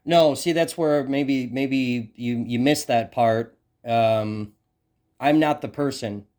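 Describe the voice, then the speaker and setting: Male journalist, American, mid-pitched, pressing with challenging questions in hesitant, broken phrasing. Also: a little mellow. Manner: halting, hesitant phrasing with repeated words; persistent, challenging. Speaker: independent journalist. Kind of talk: questioning an official in a phone interview.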